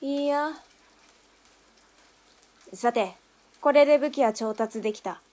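A woman playing a tough, brash gang boss in a Japanese audio drama, with rough, cocky, masculine wording. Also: sits high, slow, a little mellow. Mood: cocky, businesslike.

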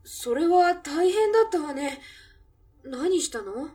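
A woman playing a friendly café barmaid with a gently teasing, feminine manner in a radio drama. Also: sits high, animated, takes it slowly, far from the mic. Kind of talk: Japanese radio drama acting.

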